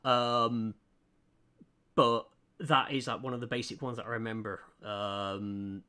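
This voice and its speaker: Man, mid-pitched, earnest live-streamer chatting while drawing, halting with fillers and restarts. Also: level tone, bright, forceful tone, smooth. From United Kingdom. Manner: earnest, hesitant, many ums and restarts.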